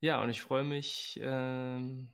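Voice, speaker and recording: Even-toned man as a podcast guest. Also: mid-range voice, slow, creaky, clean tone. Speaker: podcast guest, agroecology worker. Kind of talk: conversational podcast talk.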